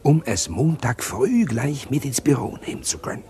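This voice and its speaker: Casting: male actor as anxious confessing convict, radio thriller, medium pitch, halting, stammering, formal diction. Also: very animated, mellow, close to an everyday voice. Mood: hushed, scheming. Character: anxious condemned man confessing his crime, nervous bookkeeper. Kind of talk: 1950s to 80s German radio crime drama acting.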